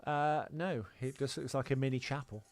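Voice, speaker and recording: A man's voice: medium pitch, fairly even intonation, normal speed. A man co-hosting a podcast, casually explaining details in conversation about films. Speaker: podcast co-host. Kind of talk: casual film discussion.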